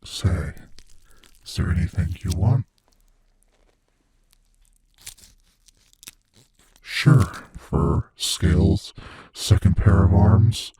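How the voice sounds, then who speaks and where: A man playing a gleefully cruel monster from under the bed in an intimate ASMR audio roleplay, taunting and menacing in a breathy, whispery voice. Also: sits low, animated, warm tone, exaggerated voice. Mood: mocking, playful menace. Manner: slow, taunting, menacing, savoring words.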